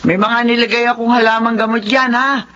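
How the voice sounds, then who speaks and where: An anxious middle-aged mother in a radio drama, played by a woman. She frets and fusses in a bright, forceful voice over a dull recording with faint noise. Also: on the high side, fairly even intonation, brisk, natural voice. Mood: worried, fussing. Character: anxious, fretting wife and mother, fussy. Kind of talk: Filipino radio drama acting.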